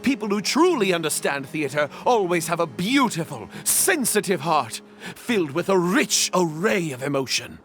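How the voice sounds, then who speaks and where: A highish, very animated young would-be knight, humble and eager to please. He speaks with theatrical sincerity in a video game scene, faint music underneath. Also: normal speed. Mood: earnest. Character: earnest, humble young actor playing a knight. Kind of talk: video game dub acting.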